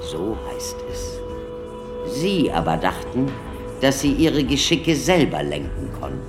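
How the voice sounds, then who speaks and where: An actor in a German radio play as a theatrical doctor who lectures an audience like a showman, declamatory and deliberate. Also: medium pitch, wildly swooping pitch, over music. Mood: grave, rhetorical.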